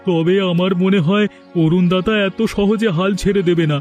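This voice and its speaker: A man's voice: high voice, steady tone, normal speed, darkish tone, faint music behind, lightly acted. A man voicing a gossiping, mocking villager in a cartoon, with a scornful way of talking. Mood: gossipy, scornful. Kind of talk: Bengali cartoon dialogue dubbing.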